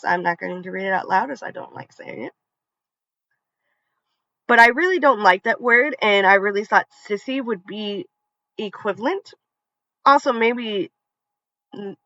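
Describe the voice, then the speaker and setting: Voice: mid-range voice, vocal fry, sharp tone, no room sound. A narrator reading online revenge stories aloud with casual asides, now and then tripping over a word and starting it again. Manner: conversational reading, trips over words and restarts. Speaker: story channel narrator. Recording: dull, slightly lo-fi dry recording.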